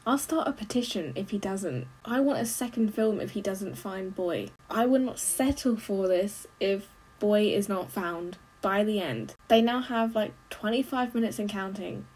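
A young adult woman giving casual, expressive running commentary as she reacts to a film, full of exclamations. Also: mid-range voice, normal speed, hardly any pauses, slightly rough, rough recording. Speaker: movie reaction host. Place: small bedroom.

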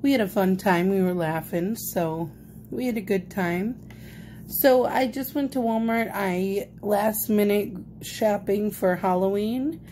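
A woman's voice: mid-range voice, a little slow, mellow. A young adult woman chatting casually as a vlogger, recorded on a phone in a home kitchen. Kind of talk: casual grocery haul vlog chat. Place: small indoor home kitchen. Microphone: phone close at arm's length. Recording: noticeable noise on phone recording.

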